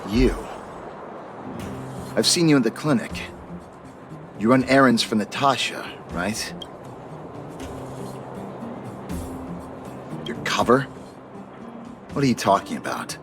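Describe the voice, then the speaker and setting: A man's voice: medium pitch, animated, unhurried, long pauses, lightly acted. An actor voicing a glum, fatalistic male hypochondriac patient in a video game, resigned and defensive. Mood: wary. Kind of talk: video game character acting. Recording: music behind the voice.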